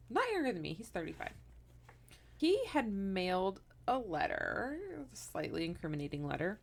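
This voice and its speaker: This is a chatty woman in her thirties recounting a true-crime case on a podcast. Her voice sits a little low and rises and falls lively. She often laughs and drifts into side comments. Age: 30s. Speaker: co-host telling the story.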